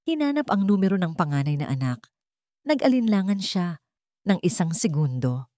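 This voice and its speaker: A woman's voice: on the low side, sing-song, normal speed, mellow, no room sound, studio quality. A woman narrating a scripted dramatic story as an audio storyteller.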